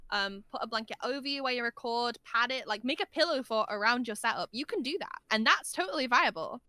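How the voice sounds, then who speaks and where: A woman's voice: on the high side, fast, bright, forceful tone, dry recording. A woman in a casual interview, giving opinionated, emphatic advice as a guest voice actor, recorded at home on a dynamic microphone. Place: large untreated room at home.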